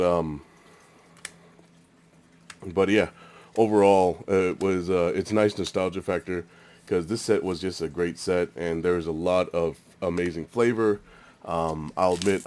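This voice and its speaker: A man's voice: low voice, darkish tone. A congested young man hosting a casual pack-opening video, rambling with lots of fillers. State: congested, stuffy nose. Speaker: card-opening channel host.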